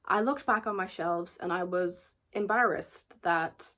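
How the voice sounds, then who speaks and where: A woman's voice: on the low side, measured pace, a bit bright. A woman in her twenties with an Irish accent, chatting earnestly about books to camera, often repeating words for emphasis. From Ireland. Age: 20s. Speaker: book vlogger. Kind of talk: casual book chat to camera.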